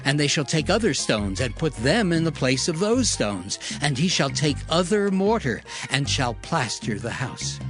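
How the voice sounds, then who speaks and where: A man narrating scripture from a script in a measured, solemn manner. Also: mid-range voice. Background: background music.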